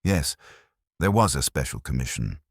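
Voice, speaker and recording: Man reading a nervous deep-voiced window dresser. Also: sing-song, moderate pace, gravelly, dry recording, studio quality, exaggerated voice. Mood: nervous, defensive. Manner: anxious, precise, deferential. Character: nervous, fastidious male shop window dresser.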